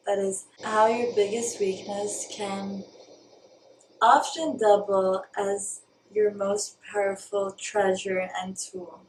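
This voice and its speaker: A woman's voice: medium pitch, fairly even intonation, takes it slowly, slight background noise. A young woman from Brazil talking earnestly to camera in a personal vlog, stopping now and then to search for the right word. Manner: earnest and searching, stops to look for words. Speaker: spiritual vlogger. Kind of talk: reflective personal talk to camera. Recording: roomy, mic at a distance.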